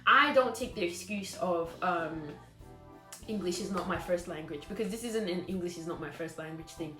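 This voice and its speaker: A woman's voice: lowish voice, normal speed, sharp tone, roomy sound, faint music behind. A woman giving a recorded lecture to trainee teachers, blunt and scolding, driving her points home with repetition.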